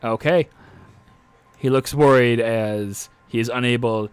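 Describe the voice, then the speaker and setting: Male game master, mid-pitched, improvised narration and rules talk, somewhat hesitant. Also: a little slow, clear, resonant tone, no room sound.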